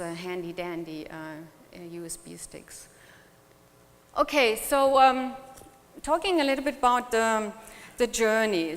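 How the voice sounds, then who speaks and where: A middle-aged woman from Germany with a German accent, giving a chatty conference talk in which she jokes and asks the audience questions. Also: on the high side. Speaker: conference speaker. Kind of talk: conference presentation. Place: conference hall. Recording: long hall reverb.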